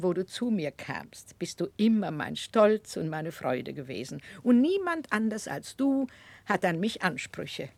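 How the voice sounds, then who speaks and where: Generous, resolute older aunt, gravelly and expressive, tender vintage radio-play delivery, close dry sound. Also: female voice, fairly low pitch, no echo, full character voice. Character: devoted, generous, decisive aunt of a young man. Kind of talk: 1960s German radio drama acting.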